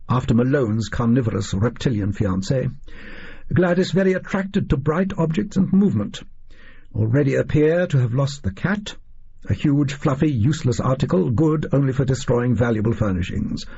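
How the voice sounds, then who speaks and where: A man's voice: medium pitch, gravelly, warm tone, slightly rough, lo-fi sound, heavily acted. A man voicing a pompous, eccentric explorer-scientist reading out his diary in clipped, self-important phrases, for an audio story.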